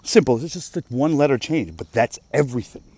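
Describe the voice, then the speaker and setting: Man from Russia who speaks Russian natively, mid-pitched and mellow, delivering a profane, emphatic off-the-cuff rant. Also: brisk. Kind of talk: casual rant monologue.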